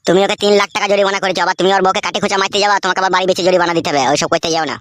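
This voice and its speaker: Woman-like voice as a rapid, wheedling cartoon henchman. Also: medium pitch, steady tone, no echo, close to an everyday voice. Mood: pleading. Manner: pleading, servile. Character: timid, wheedling young male henchman, loyal go-between. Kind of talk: comic cartoon dialogue.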